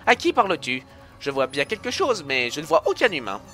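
A man's voice: a little high, huge pitch swings, a little fast, bright, forceful tone, soft background music, exaggerated voice. A man voicing a good-hearted, grandiose skeleton in a cartoon dub, theatrical and exuberant. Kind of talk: cartoon comic dub acting.

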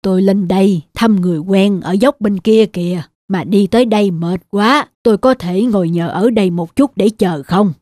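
A woman voicing a wise, kindly old man in a cartoon, gentle and advising. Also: medium pitch, normal speed, few pauses, no room sound, studio quality, natural voice. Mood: weary, polite. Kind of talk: cartoon dubbing.

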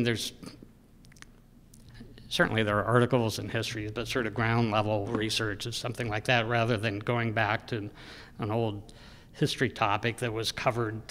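A man in his sixties giving an informal, rambling talk at a podium microphone, often pausing and hesitating as he goes. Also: lowish voice, fairly bright tone, a little hiss or hum. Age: 60s. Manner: halting, rambling delivery with frequent hesitations. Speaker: local history journal editor speaking at an event. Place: indoor public room, speaking at a podium. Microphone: gooseneck podium microphone. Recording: echoey hall with long reverb.

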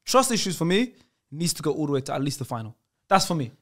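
Young man guest, high lively voice, fast talker, teasing, studio mic. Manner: teasing, animated. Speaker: podcast guest. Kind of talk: casual chat. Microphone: studio mic on a boom arm.

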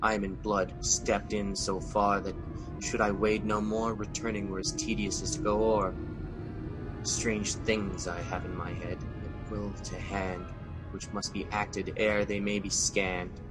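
A man's voice: lowish voice, little pitch movement, unhurried, over music, natural voice, poor audio. A man playing Macbeth, a fearful, haunted king, in a radio drama with an intense, shaken delivery.

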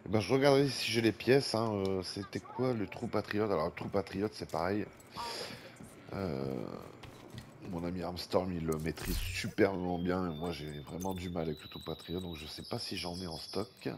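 Low-voiced French man with a head cold. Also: normal speed. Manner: chatty, breathes heavily at times. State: has a head cold, congested. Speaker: gaming YouTuber. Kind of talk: casual gameplay commentary. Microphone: desk microphone with fan running nearby. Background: faint fan noise.

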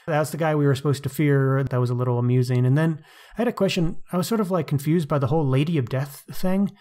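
A man's voice: fairly high pitch, fast, dark tone. A man co-hosting a podcast, talking casually and wryly about books with slangy asides. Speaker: podcast co-host. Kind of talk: casual book discussion.

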